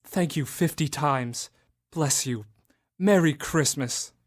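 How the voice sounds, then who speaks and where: A man playing an old miser turned joyful and generous in an amateur radio drama, booming and theatrical. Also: slow. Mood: jovial.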